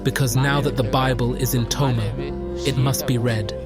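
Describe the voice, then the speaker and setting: A man giving a measured voice-over narration of a pastor's testimony. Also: mid-range voice, steady tone, over loud music.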